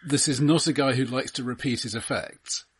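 Husky man with dry, understated humour. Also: medium pitch, moderate pace. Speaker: podcast co-host. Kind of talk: casual film discussion.

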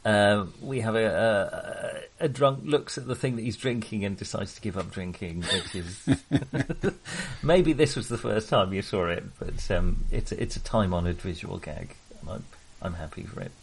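A man with a British accent discussing films casually as a podcast co-host, in an animated, wry, conversational manner. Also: on the low side, normal speed, creaky, breathy edge.

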